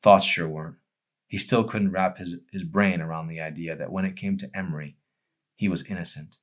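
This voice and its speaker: A man's voice: sits low, fairly even intonation, fairly quick. A man reading his own novel aloud in a dramatic audiobook narration style.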